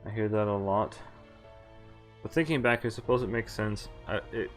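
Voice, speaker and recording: A man's voice: fairly low pitch, fairly even intonation, moderate pace. A man reading visual novel text aloud on a stream, adding casual, sweary, incredulous asides. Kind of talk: reading game text aloud with live reactions. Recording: game music behind the voice. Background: game music.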